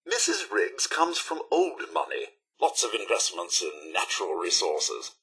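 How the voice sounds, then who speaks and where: Prim, fussy robot butler. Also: male voice, mid-range voice, pushed and bright, husky, exaggerated voice. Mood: informative, polite. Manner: prim, formal, mannered butler diction. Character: polite, fussy, anxious male robot butler. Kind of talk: scripted video game character dialogue.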